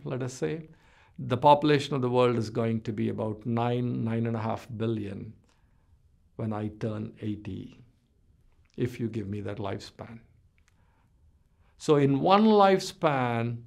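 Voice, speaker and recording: A man in his sixties delivers a recorded business lecture, his voice gravelly and sitting at an ordinary middle pitch. He explains at a measured pace, letting the ends of his phrases drop away. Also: a little mellow. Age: 60s. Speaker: business professor. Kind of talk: recorded lecture over slides.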